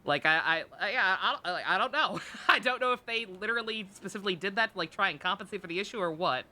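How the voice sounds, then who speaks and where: A woman's voice: medium pitch, lively intonation, fast talker, sharp tone. A woman live streaming a game with casual, candid commentary, rambling and stammering, with frequent swearing. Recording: game music behind the voice.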